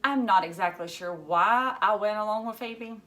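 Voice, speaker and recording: A woman teacher reading a story aloud to children in an expressive way, emphasizing key words. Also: mid-range voice, normal speed.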